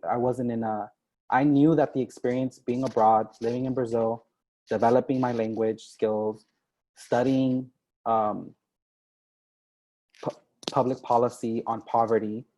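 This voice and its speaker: A man's voice: medium pitch, steady tone, a little slow, darkish tone, low-quality recording. A young man speaking as a diplomat at an online info session, talking conversationally with many ums and "right?" tags. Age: young adult.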